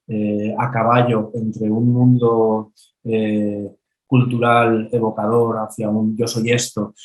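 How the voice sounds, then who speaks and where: Low, flat-voiced man. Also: poor audio. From Valencian Country, Spain. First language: Valencian. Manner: measured, thoughtful, frequent hesitant "eh" fillers. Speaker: film director, guest. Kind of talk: reflective interview answer.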